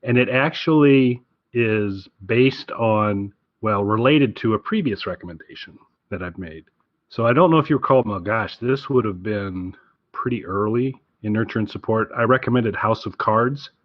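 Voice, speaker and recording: A man's voice: lowish voice, moderate pace, dry recording, highs cut off. A man hosting a podcast, chatting casually about his recommendations in an enthusiastic, rambling way. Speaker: podcast host.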